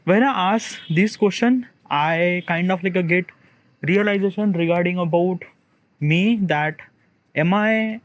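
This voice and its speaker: A man, a content creator recording a solo spoken diary, thinking aloud in a hesitant, rambling way full of fillers and restarts. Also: high-pitched.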